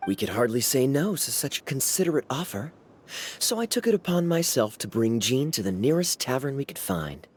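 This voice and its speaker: An actor playing a suave, teasing young knight in an anime-style video game, smooth and playful in his drawling delivery. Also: mid-range voice, big pitch swings, fairly quick, slight background noise, natural voice.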